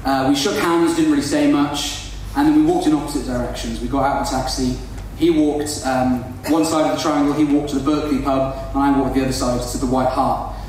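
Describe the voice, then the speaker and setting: A man's voice: medium pitch, steady tone, fairly quick, hardly any pauses, a little mellow, very echoey, recorded from a distance, a little hiss or hum, poor audio. A young Welsh-born man whose first language is Welsh, telling a comic story to an audience in a chatty, self-deprecating way and calling his listeners folks. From Wales. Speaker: storyteller on stage.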